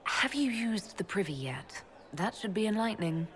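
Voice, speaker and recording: An actress playing a composed, caring elf mage leader with a wry streak in a video game. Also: female voice, mid-range voice, animated, moderate pace, close to an everyday voice. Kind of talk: game cutscene dialogue.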